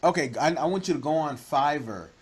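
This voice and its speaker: A man hosting an interview, chatty and advising, with jokes and loud laughs. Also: on the high side, animated, brisk, breathy edge. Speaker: interview host. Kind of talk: casual interview chat.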